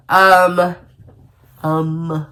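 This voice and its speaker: A woman's voice: lowish voice, pushed and bright. Stuffy-nosed woman chatting casually. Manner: chatty, rambling, laughs often. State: stuffy nose from a cold. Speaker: cross-stitch vlogger. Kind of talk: casual chat to camera.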